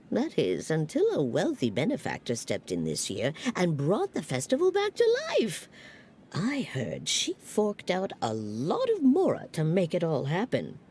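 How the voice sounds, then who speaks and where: A woman's voice: fairly low pitch, dark tone, slight background noise, heavily acted. A woman voicing a young woman fondly and reflectively recalling festivals of her childhood. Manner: reflective, storytelling. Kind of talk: game cutscene voice acting.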